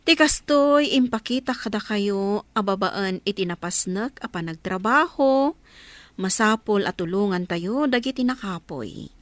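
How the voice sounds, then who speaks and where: A woman with a Filipino Ilocano accent announcing and narrating for a radio drama, reading a scripted moral message in a measured, preachy way. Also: medium pitch, expressive, no room sound.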